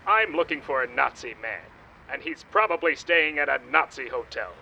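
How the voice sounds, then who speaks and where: A man playing a dogged, patriotic Nazi hunter, blunt and deadpan, in a comedic radio play. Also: medium pitch, normal speed, gravelly, lo-fi sound, heavily acted. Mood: cool, pointed. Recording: faint background noise.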